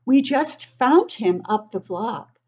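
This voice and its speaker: A woman's voice: on the high side, animated, slow, darkish tone, slightly rough, highs cut off, pitched above the performer's own voice, full character voice. A woman voicing a commanding male fire chief addressing his crew emphatically in a children's storybook. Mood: reassuring. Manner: emphatic, authoritative calls.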